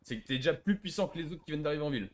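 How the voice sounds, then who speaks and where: Fast-talking sarcastic man. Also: fairly high pitch, lively intonation. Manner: sarcastic, needling. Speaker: player trolling a roleplay game server. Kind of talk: casual argument in online game voice chat.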